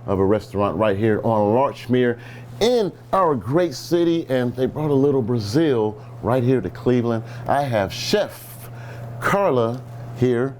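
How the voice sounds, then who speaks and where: A man presents a local interview show in an affable, conversational way. His voice sits at a middling pitch, and a clear background noise with a low hum runs under it. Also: animated, measured pace, darkish tone.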